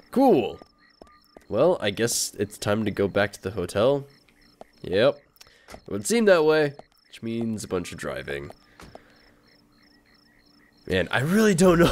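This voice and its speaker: Lively low-voiced man rambling over gameplay. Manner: self-deprecating, wry. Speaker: video game let's player. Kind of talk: casual, rambling game commentary.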